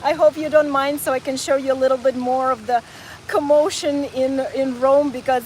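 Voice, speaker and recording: Chatty high-voiced woman vlogging outdoors. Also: fairly even intonation, normal speed, a little hiss or hum, rough recording. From Ukraine, raised in North Carolina. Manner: enthusiastic, chatty, repeats words as she talks. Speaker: travel vlogger. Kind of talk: live-streamed walking travel chat. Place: outdoors in a city park and square.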